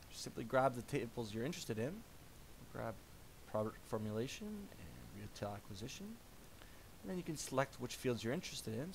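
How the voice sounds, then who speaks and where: A man narrating a software product demo as a voice-over, in a measured explanatory tone. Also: mid-range voice, gravelly, a little hiss or hum, rough recording.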